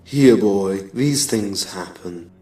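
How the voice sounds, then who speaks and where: A man acts out an old great-grandfather echidna for a comic dub. He speaks slowly, proud and reproachful, from a distance in an echoey space with music underneath. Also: on the low side, dark tone. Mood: stern, dismissive.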